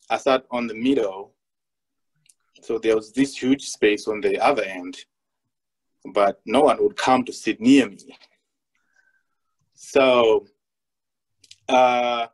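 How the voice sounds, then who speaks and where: Young man, from Malawi, panelist on video call, halting delivery, lots of ums. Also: mid-range voice, poor audio. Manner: hesitant, many fillers and restarts. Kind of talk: personal storytelling on a panel.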